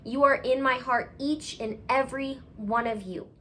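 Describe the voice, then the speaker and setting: A woman school teacher speaking expressively to young children as in a storybook read-aloud, affectionate and with gentle emphasis. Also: high-pitched, steady tone, moderate pace.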